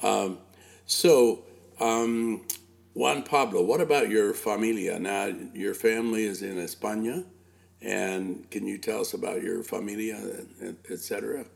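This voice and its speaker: An older man with a gravelly voice, chatting casually as an interviewer, repeating himself and dropping Spanish words into his English. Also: fairly low pitch, steady tone, measured pace. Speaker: interviewer, host.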